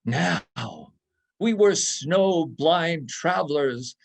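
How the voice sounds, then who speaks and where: A man giving a dramatic literary reading of a nostalgic story, savouring each descriptive phrase in a deliberate manner. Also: a little high, animated, vocal fry. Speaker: reader in a readers theatre.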